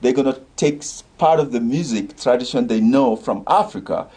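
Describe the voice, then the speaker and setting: A man's voice: medium pitch, moderate pace, mellow, breathy edge. An older man from Senegal, a community organization leader, talking earnestly in a discussion show and rallying listeners with repeated phrases.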